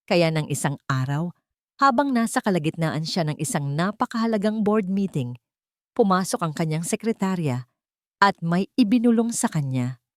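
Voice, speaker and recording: A woman with a Filipino accent narrating a scripted romantic drama story in a dramatic, measured storytelling manner. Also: lowish voice, lively intonation, darkish tone, no room sound, studio quality.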